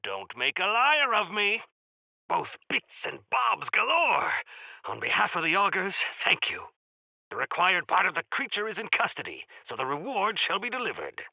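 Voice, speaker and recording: Spry, gravelly old rebel elder, wildly animated. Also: male voice, high voice, normal speed, no echo, full character voice, rough recording. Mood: formal, sly. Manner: grandiloquent, animated, mischievous. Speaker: video game voice actor. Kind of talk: theatrical video game character dialogue.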